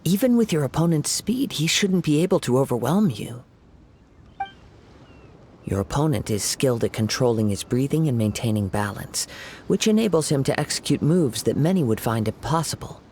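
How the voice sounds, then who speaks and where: Cast: man playing a polite, measured young swordsman, mid-pitched, formal speech, for a fantasy game. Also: lively intonation, a little mellow, slight background noise, lightly acted. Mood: calm. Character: courteous, scholarly young swordsman, male. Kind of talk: game voice acting.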